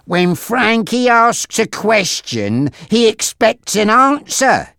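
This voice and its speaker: A narrator voicing a menacing, taunting male gangster boss in an audiobook story, with a threatening, drawn-out delivery. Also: medium pitch, big pitch swings, vocal fry, pushed and bright, no room sound, clean studio sound, voice pushed up, heavily acted.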